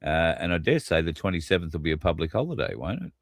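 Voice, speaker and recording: A man's voice: sits low, very animated, fast talker, gravelly, no room sound, clean studio sound. An Australian man co-hosting a casual panel chat, blunt and joking, with fillers along the way. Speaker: show co-host.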